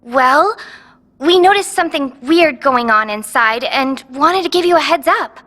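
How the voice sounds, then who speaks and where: An actress playing a friendly, watchful young lookout in a video game, talking casually, with long reverb on the voice. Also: female voice, high-pitched, animated, unhurried, pushed and bright, full character voice. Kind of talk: anime-style video game voice acting.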